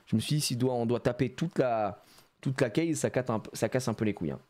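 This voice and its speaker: A man's voice: mid-range voice, a little fast. A man chatting casually on a live stream as he opens trading card packs, joking around and laughing often. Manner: animated and jokey, laughs often, plays on words.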